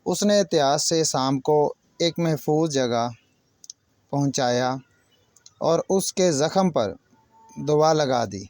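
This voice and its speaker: A man's voice: a little high, lively intonation, pauses often, a little mellow, no room sound. A man narrating a moral story for children like a teacher, speaking directly to young listeners in short separated phrases. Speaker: children's storyteller and channel host.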